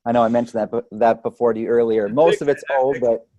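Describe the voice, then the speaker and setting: Guy guest on a health podcast, mellow low-key tone, super dry sound, explains stuff with analogies, keeps saying right. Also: steady tone, fast talker, no echo, muffled recording. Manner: explanatory, uses analogies, often checks with "right?". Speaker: chiropractic or holistic health practitioner, podcast guest. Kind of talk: conversational interview explanation.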